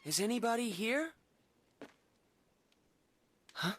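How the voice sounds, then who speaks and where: Polite, composed young elf. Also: female voice, on the high side, sing-song, full character voice. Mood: gentle, composed. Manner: measured, formal phrasing. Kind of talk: English-dubbed anime-style game acting. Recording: hall reverb, music behind.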